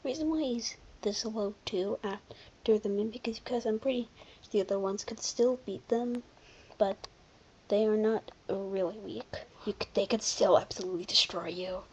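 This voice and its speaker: A commentator giving casual, unscripted video commentary in an informal, halting way, often backtracking. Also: medium pitch, dull audio, slight background noise. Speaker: video creator presenting a ranking.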